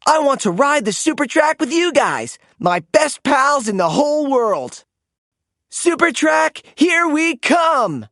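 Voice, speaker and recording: Showy, sweet male stunt truck for a storybook, read by a narrator, pitched up high and animated. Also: slow pace, dry recording, clean studio sound, exaggerated voice. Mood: excited. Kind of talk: children's storybook character voice.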